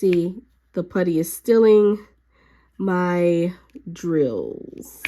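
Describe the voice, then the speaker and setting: A woman hobby vlogger who is a little sick and a bit raspy, chatting casually with lots of fillers while she works at her craft desk at home. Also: on the low side, extremely slow. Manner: chatty, relaxed, frequent fillers.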